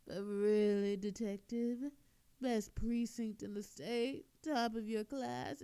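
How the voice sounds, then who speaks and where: A woman performs a cocky female thief in an audio drama, with a fairly high feminine voice. She is coy and theatrical, forever flirting and needling the detective who questions her. The delivery is playful and mocking, full of smug confidence. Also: warm tone. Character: smug, flirtatious, teasing woman thief under police interrogation. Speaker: voice actor in audio roleplay. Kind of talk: flirtatious audio roleplay monologue.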